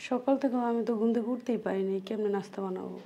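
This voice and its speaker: A woman's voice: medium pitch, very fast, gravelly, warm tone, close to an everyday voice. A woman with a colloquial Bangladeshi accent playing a meek, unwell younger sister-in-law, submissive and apologetic, in a family drama. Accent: colloquial Bangladeshi Bengali.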